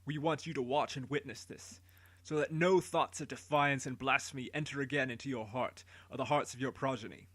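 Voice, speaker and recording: A man voicing a cruel, proud tyrant king who speaks in a great booming voice. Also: steady tone, brisk, fairly bright tone, close to an everyday voice. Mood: commanding. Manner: booming, commanding.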